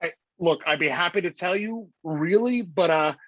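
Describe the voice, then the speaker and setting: An actor playing a cowardly, wisecracking husband and crook who stammers nervously and indignantly in a comic noir staged reading. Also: male voice, high voice, normal speed, fairly bright tone, no room sound, highs cut off. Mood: nervous sarcasm.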